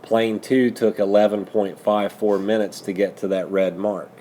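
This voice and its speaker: A man's voice: fairly low pitch, level tone, moderate pace, dark tone, slight background noise. A man working methodically through a math lesson as a teacher, patiently prompting students with questions. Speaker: high school algebra teacher.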